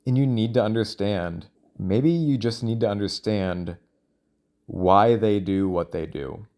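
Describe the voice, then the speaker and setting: A man speaking in a casual, rambling monologue, thinking out loud in a halting, self-questioning way. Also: lowish voice. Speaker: solo audio log creator.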